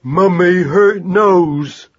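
A man voicing a greedy, simple-minded young boy mouse who talks in halting baby talk. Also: fairly high pitch, animated, slightly rough, highs cut off, voice pushed up, exaggerated voice. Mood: plaintive.

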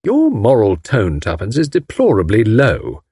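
Guy voicing a dry wry young englishman, slightly husky. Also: fairly low pitch, wildly swooping pitch, unhurried, mellow, dry recording, exaggerated voice.

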